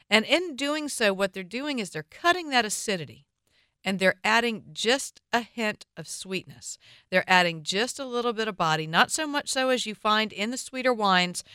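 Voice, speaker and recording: Crisp-voiced Southern woman talking wine. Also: fairly low pitch, no room sound, clean studio sound. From American South. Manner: lively, chatty, confident, playful asides. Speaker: wine educator. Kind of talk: solo talk to camera on wine trends.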